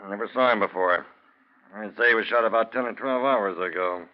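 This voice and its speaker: Guy as small town sheriff, lowish voice, terse and folksy, old muffled 40s radio. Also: fairly quick, natural voice. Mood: businesslike. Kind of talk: 1940s radio acting. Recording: dull, band-limited 1940s radio recording.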